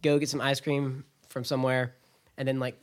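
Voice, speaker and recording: A young American man from Florida chatting casually as a podcast co-host, excitable and enthusiastic. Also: mid-range voice, moderate pace. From Florida, United States. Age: young adult. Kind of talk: casual chat.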